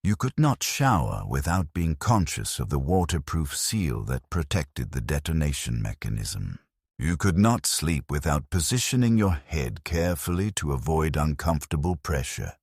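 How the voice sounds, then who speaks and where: A man delivering dramatic scripted first-person narration in a grim, solemn, measured storytelling manner. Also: rumbling low, sing-song, gravelly, slightly rough, no echo, studio quality. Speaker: narrator of science fiction lore stories.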